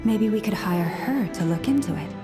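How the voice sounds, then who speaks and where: A woman voicing a calm, earnest leader in a fantasy video game, speaking in a measured, serious storytelling way. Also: medium pitch, music in the background, close to an everyday voice.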